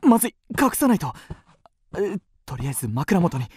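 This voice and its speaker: A man's voice: high voice, sing-song, normal speed, darkish tone, breathy edge, heavily acted. An actor playing a vain, evasive young male student in a radio drama, theatrical and awkward. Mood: flustered, sleepy. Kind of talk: radio drama acting with inner monologue.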